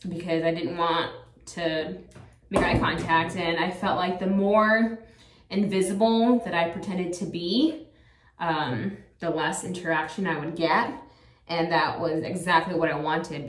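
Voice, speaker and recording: A woman in her thirties talking casually to her viewers in a rambling, chatty way, full of fillers. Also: on the low side. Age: 30s. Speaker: vlogger. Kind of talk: casual chat to camera. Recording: echoey room, a bit far from the mic.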